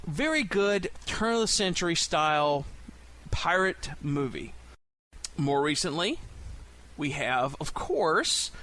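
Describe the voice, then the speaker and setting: A man's voice: fairly high pitch, pushed and bright. A man hosting a podcast in a casual, enthusiastic monologue, chatty and emphatic in the way he talks. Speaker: podcast host.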